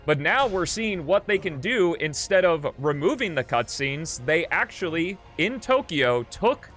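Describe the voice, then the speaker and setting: A man's voice: fairly high pitch, lively intonation, a little slow, a bit bright. A man hosting a livestream, giving casual, rambling gameplay commentary as he thinks aloud in an informal way. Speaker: livestream host. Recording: game music behind the voice. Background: video game music.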